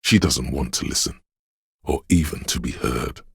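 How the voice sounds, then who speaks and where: An actor playing a brooding, all-knowing inner voice in a video game, narrating slowly and poetically in the second person with ominous solemnity. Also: male voice, a bit bright, no room sound, studio quality, heavily acted. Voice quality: gravelly, husky bass. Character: brooding, omniscient inner voice narrating a city's shivers.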